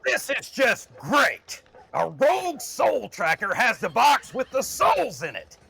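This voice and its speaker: A man playing a flustered, excitable soul tracker underling in an audio drama, nervous and exclaiming, prone to stammer. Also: extremely high pitch, takes it slowly, vocal fry, heavily acted. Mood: exasperated. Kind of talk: scripted audio drama acting.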